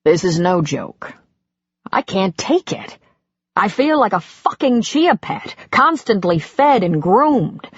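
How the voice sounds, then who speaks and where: A woman's voice: medium pitch, very animated, slow, husky, dry recording, muffled recording, full character voice. A woman voicing an exasperated middle-aged husband who is walking out, bitter and swearing in heated outbursts. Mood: fed up.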